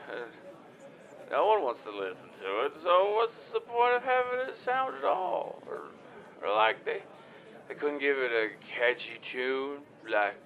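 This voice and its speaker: A man's voice: on the high side, exaggerated voice. A man playing an anxious, clueless hotline caller in an audio drama, hesitant, flustered and meandering over a muffled line. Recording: dull, muffled phone-call sound.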